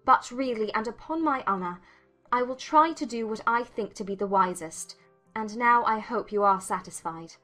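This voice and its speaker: A woman playing a witty, spirited young gentlewoman in an audiobook drama, expressive and articulate, teasing yet sincere. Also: on the high side, moderate pace, soft background music. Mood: conceding, sincere.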